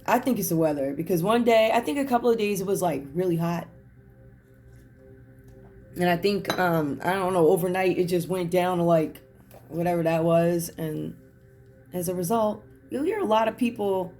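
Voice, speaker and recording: A hoarse woman doing a casual tarot reading, chatty and reflective with many fillers. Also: medium pitch, a little mellow. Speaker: tarot reader.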